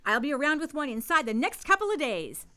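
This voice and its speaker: A woman's voice: high voice, big pitch swings, fast talker, pushed and bright, heavily acted. An actress playing an eager, breezy, slangy girl newspaper photographer in a radio drama. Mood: excited, bossy. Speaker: radio play actor. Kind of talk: radio drama acting in vintage newsroom style.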